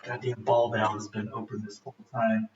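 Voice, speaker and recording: A man from Michigan, chatting casually and haltingly with lots of fillers while livestreaming his home brew day. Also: lowish voice, little pitch movement, a little mellow, slight background noise. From Michigan, USA. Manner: rambling, halting, many fillers, laughs often. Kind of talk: casual live-stream chat. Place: home brewing setup in Denmark, rainy day. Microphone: streaming camera and laptop. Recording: roomy, distant, dull lo-fi sound with faint ambience.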